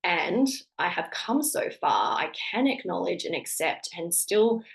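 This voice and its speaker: A woman in her thirties, a little croaky and congested, hosting a solo podcast about motherhood in an encouraging, conversational way with frequent ums and restarts. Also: lowish voice, sing-song, a little slow, low-quality recording. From Australia. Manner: warm encouraging tone with filler-heavy restarts. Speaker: podcast host.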